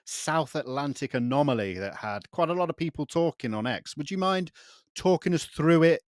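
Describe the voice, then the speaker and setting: A man's voice: fairly high pitch, animated, moderate pace, dry recording, clean studio sound. A man hosting a casual interview, asking questions in a conversational way. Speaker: interviewer, host.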